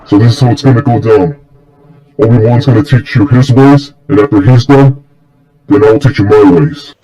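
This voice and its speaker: A man voicing a stern, confident dark-lord mentor in an amateur fan story, coaching in a blunt, matter-of-fact way. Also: fairly low pitch, normal speed, muffled recording, lightly acted.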